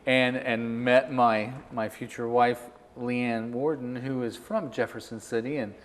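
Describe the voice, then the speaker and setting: A man's voice: medium pitch, fairly even intonation, measured pace, a little hiss or hum. A middle-aged man from Missouri, a musician and interview guest, casually telling his personal history. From Missouri, United States. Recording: echoey, reverberant room.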